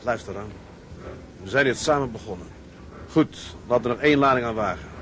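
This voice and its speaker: An actor playing a sensible, weary tugboat captain, calming and matter-of-fact, in a radio drama. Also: male voice, mid-range voice, a little fast, a little hiss or hum, lightly acted. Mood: firm. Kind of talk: Dutch radio drama acting.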